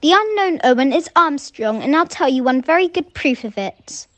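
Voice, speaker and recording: A scolding, sharp-minded young woman in an amateur radio play acted by a woman. Her high voice swings animatedly as she insists on each point, recorded dry and close. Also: animated, normal speed, no room sound. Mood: insistent, certain.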